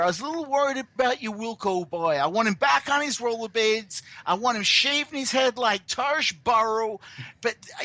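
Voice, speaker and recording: A brash, crude surf boss rambles and boasts in a high, sharp, bright voice, as a man puts him on. The sound is dry and close. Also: dry recording, exaggerated voice. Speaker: caller on satellite phone.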